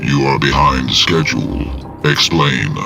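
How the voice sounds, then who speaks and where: Very deep, gravelly robot sentry. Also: male voice, takes it slowly, music in the background, heavily acted. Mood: cold, commanding. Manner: clipped, formal, emotionless commands. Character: cold robotic Sentinel guard machine, menacing and formal.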